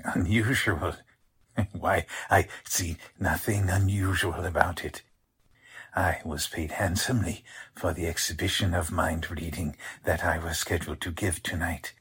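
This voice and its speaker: An actor playing a defensive, flustered small male doctor in a 1940s-style radio mystery, husky and halting. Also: deep voice, steady tone, fairly bright tone, exaggerated voice. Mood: smoothly evasive. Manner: halting, indignant, slightly stammering. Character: small suspect male doctor, stage mind reader, flustered.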